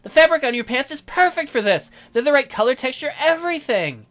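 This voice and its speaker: A man's voice: extremely high pitch, big pitch swings, a little fast, fairly bright tone, voice pushed up, exaggerated voice. A man voicing a cute, cheerful, teasing nerdy young woman from a visual novel, bubbly and earnest. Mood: earnest, eager. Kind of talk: acted visual novel dialogue.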